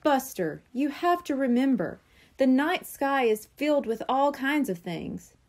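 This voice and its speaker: A woman's voice: high voice, very animated, measured pace, a little mellow, pitched above the performer's own voice, exaggerated voice. A woman voicing a brainy, condescending young boy in a storybook, explaining things precisely and patiently. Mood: patient lecturing. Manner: precise, explanatory, know-it-all.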